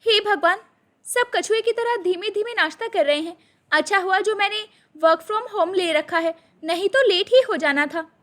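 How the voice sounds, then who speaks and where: Very high-pitched, harried young daughter-in-law, acted by a woman. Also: moderate pace, fairly bright tone. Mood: brisk. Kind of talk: dramatised Hindi moral-story acting.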